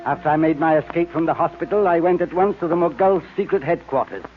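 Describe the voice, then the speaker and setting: Smug mercenary crook, played by a man, self-satisfied, measured, higher-pitched, vintage radio drama, muffled recording. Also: lightly acted. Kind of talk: 1940s radio serial acting. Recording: muffled, faintly noisy old radio recording.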